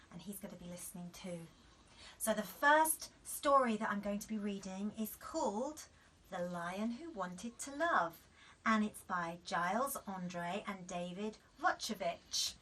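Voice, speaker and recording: A woman storyteller giving an expressive reading of a children's bedtime story. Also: mid-range voice, far from the mic.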